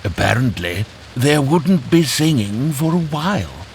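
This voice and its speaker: A man narrating a scripted children's fairytale in an expressive, animated storytelling way. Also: medium pitch, measured pace, a little hiss or hum.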